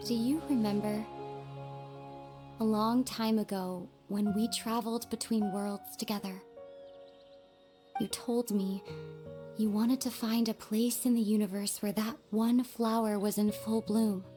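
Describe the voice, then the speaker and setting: A woman's voice: medium pitch, fairly even intonation, a little slow, warm tone, lightly acted. Woman acting grave, sorrowful young sister, music behind. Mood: wistful, sorrowful. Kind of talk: dramatic video game voice acting. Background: game music.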